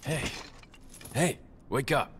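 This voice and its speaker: An actor playing a cool young American agent in a video game, with an American accent and a clipped, deadpan manner. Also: male voice, medium pitch, takes it slowly, slight background noise. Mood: cool, terse. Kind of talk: video game cutscene acting.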